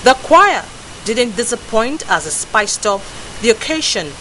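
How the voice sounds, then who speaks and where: A woman with a Nigerian accent reading a formal television news report in a measured way. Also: on the high side, very animated. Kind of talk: scripted narration. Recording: voice-over with clear hiss.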